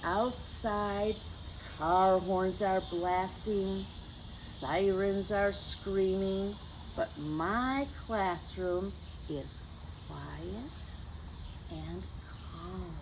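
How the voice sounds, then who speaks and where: A woman reading a picture book to young children in a gentle, teacherly way, with chatty asides to her listeners. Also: mid-range voice, very slow, background noise. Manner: gentle teacherly address, tag questions to the listeners. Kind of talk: read-aloud story time for young children with chatty asides. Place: outdoors.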